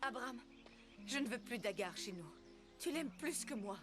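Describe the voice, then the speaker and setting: Cartoon Bible matriarch , female actor: proud, bitter wife, very lively pitch swings, loud music underneath. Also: moderate pace, lightly acted. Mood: anxious, reproachful. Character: Sarai, proud, bitter childless wife of a patriarch. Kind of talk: dubbed animated Bible drama.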